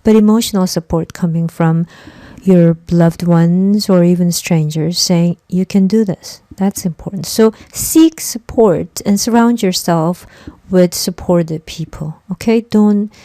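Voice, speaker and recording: Low-voiced woman, Korean native speaker, lecturing. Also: unhurried, few pauses, mellow, no echo. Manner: conversational, repeats words for emphasis. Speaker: instructor. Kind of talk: online lecture.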